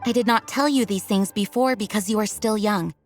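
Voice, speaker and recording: A woman playing a stern but caring young knight commander in a video game, speaking formally and with measured authority. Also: mid-range voice, soft background music, natural voice. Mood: composed, conceding.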